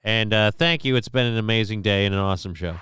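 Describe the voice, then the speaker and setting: A man's voice: fairly low pitch, fast talker, fairly bright tone, clean tone, no room sound. A man from Minnesota hosting a casual live show, rambling and swearing while he answers the chat, with lots of restarts and fillers.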